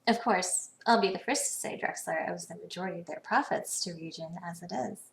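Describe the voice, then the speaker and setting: Creaky-voiced, cool corporate businesswoman. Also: lowish voice, brisk, a little hiss or hum, rough recording. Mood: businesslike. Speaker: video game voice actor. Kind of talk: scripted game dialogue.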